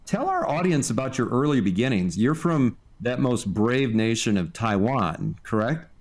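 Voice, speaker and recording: A man's voice: mid-range voice, big pitch swings, moderate pace. A man hosting an interview podcast, moving between scripted introduction and questions to a guest. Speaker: podcast host.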